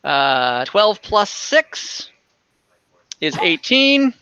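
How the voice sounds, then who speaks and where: A game master narrating a tabletop role-playing game informally, thinking out loud while tallying dice over an online call. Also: mid-range voice, very animated, drawn-out words, dull audio, a little hiss or hum. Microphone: online group call.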